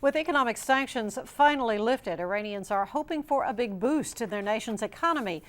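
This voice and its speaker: A woman with a fairly high voice reads the news as a television anchor. Her delivery is scripted and structured, in the manner of a newscast. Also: expressive, fairly quick.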